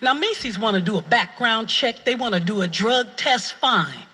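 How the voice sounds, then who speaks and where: A middle-aged woman tells a personal story conversationally. Her voice is husky with a bright, sharp edge. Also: fairly high pitch, expressive, moderate pace.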